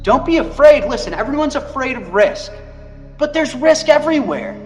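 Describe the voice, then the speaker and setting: Male motivational speaker, very high voice, unhurried and emphatic, music clearly behind. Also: big pitch swings. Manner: emphatic, repeats phrases rhetorically. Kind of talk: motivational persuasive talk.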